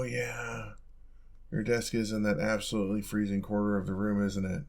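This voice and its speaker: A man acting a low, creaky-voiced caring young roommate. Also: a little mellow. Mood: casual, caring. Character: caring, teasing young male college roommate with a crush. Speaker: audio roleplay performer. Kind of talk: intimate ASMR-style audio roleplay.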